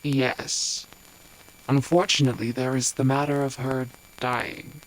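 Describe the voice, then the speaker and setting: Slow, imperious empress played by a woman. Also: a bass voice, little pitch movement, a little hiss or hum, low-quality recording. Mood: cold, resigned. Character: imperious, coolly calculating empress. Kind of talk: scripted audio drama.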